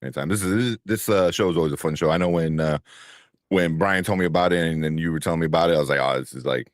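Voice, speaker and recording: A man with a very deep voice co-hosting a casual livestream show, laid-back and chuckling, rambling with fillers. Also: fast, no echo, clean studio sound. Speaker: co-host.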